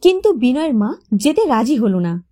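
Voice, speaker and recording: High, mellow woman storyteller. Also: very animated, moderate pace. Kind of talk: emotive scripted story narration.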